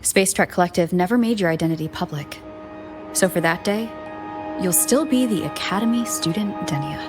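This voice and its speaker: An actress playing a calm, concerned young heroine in a video game, speaking in a measured, earnest way. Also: female voice, on the low side, over music, lightly acted. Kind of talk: English video game voice acting.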